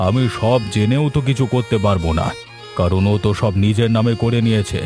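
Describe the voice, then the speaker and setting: A man's voice: lowish voice, a little fast, music in the background, natural voice. A man voicing a stern village headman in a cartoon, blunt, judgmental and moralizing. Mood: blunt, disapproving. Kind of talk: cartoon voice acting.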